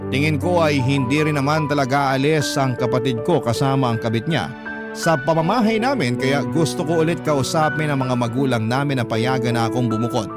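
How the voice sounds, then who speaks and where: A man's voice: medium pitch, a little fast. A man reading a listener's letter as a radio host, in a dramatic storytelling style. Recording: loud music bed behind the voice. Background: loud background music.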